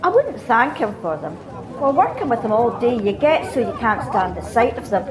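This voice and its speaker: A woman's voice: a little high, big pitch swings, normal speed, a crowd in the background. A woman playing a chatty, gossipy Scottish flower seller in a radio play. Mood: friendly, wistful. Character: chatty, admiring female flower seller, sniffly and wistful. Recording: street bustle behind voice.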